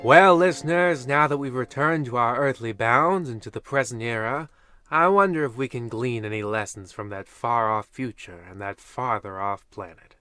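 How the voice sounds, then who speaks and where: A man's voice: mid-range voice, normal speed, bright, forceful tone, clear, resonant tone, soft background music, close to an everyday voice. A man playing a smug, mock-solemn host of an old-time radio anthology, delivering a monologue to listeners.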